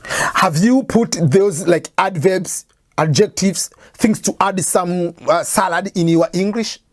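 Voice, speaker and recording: A woman's voice: lowish voice, slow, dry recording. East African woman teaching English prep. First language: Swahili. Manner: repetitive, explains by restating points with examples. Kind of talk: informal lecture.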